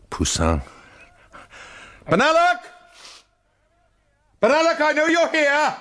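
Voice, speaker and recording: An actor playing an earnest, flustered middle-aged British amateur sleuth in a radio drama, impulsive and given to stammering. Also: male voice, low voice, gravelly, fairly bright tone, slightly rough, dry recording, exaggerated voice. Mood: desperate.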